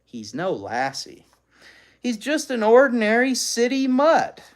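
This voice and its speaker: A practical storybook father voiced by a read-aloud host, firm and matter-of-fact. The pitch moves up and down in a lively way while staying reasonable and a bit dismissive. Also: slow.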